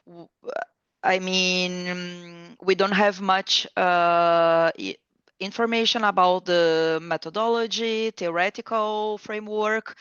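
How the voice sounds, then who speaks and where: A woman applicant asks questions over a video call, hesitating often with fillers. Her voice is smooth and resonant, and the call audio is dry and slightly dull. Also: fairly low pitch, steady tone, slow, fairly bright tone, no room sound.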